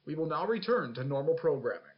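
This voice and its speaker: A man playing a formal, brisk emergency broadcast announcer reading a scripted notice. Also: a little high, big pitch swings, lo-fi sound.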